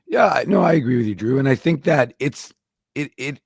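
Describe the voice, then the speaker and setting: A man's voice: mid-range voice, animated, creaky, husky, dry recording, clean studio sound. A man hosting a sports talk show, chatting emphatically about hockey. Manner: emphatic, quick to agree. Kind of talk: casual sports chat.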